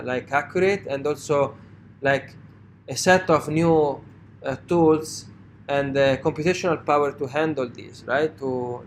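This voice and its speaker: A man with a strong Italian accent gives a university lecture in a slightly raised voice. His delivery is halting, full of "uh" and "like", and he keeps checking in with "right?". Faint noise hums under the recording. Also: on the high side. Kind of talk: classroom lecture.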